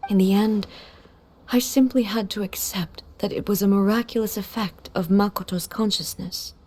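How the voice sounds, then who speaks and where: A woman playing a solemn, grieving immortal ruler in a video game, speaking formally and softly with measured composure. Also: mid-range voice, natural voice. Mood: reflective. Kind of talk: dramatic video game voice acting.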